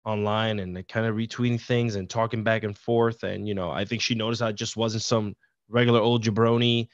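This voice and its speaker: A man's voice: on the low side, flat delivery, a little fast, no echo. Middle-aged man from Puerto Rico, guest, loose rambling storyteller; dull, dry video-call sound. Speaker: podcast guest. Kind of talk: casual interview chat.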